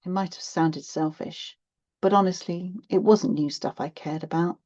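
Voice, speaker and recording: British woman, audiobook narrator for young readers, lowish mellow voice, first-person storytelling, slightly dull sound. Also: normal speed.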